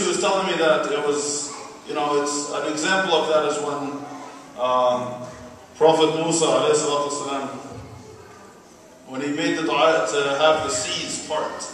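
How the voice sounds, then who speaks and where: A man giving an earnest, measured personal speech at a public event. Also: fairly high pitch, fairly bright tone. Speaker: speaker at an awareness event. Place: large hall at an Islamic center. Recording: very echoey hall from far off, faint noise.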